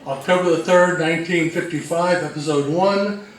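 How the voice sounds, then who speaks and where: A man introducing an informal slide presentation as co-presenter, speaking haltingly in short phrases. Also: on the high side, a little hiss or hum. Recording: very echoey room, distant mic.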